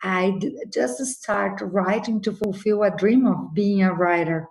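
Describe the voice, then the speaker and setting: A woman's voice: mid-range voice, a little slow, creaky, darkish tone, rough recording. A woman in her fifties from Brazil with a Brazilian Portuguese accent, answering interview questions hesitantly with many fillers. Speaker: guest, attorney and novelist.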